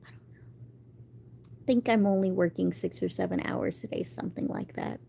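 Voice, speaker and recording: A woman's voice: medium pitch, very animated, brisk, vocal fry, dark tone, in a whisper. A woman in her thirties chatting casually on a live stream, rambling about her day and answering viewers. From United States. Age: 30s.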